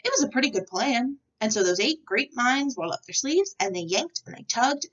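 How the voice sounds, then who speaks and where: A woman reading a children's picture book aloud as an expressive storyteller, with a slightly distant, dull recording. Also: a little high, a little fast.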